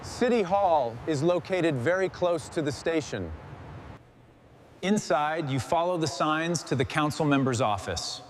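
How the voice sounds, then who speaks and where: A man narrating a scripted second-person story in a deadpan manner. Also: a little high, a little slow, a little hiss or hum.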